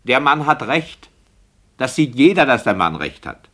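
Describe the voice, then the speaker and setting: An actor playing a questioning, indignant director in a radio play, speaking in a formal and sceptical manner. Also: male voice, medium pitch, very animated, a little slow, pushed and bright, full character voice. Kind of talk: 1960 German radio drama dialogue.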